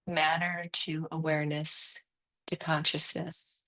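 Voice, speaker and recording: A woman teaching guided yoga nidra meditation, speaking calmly and soothingly in short phrases with long pauses between them. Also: sits low, low-quality recording.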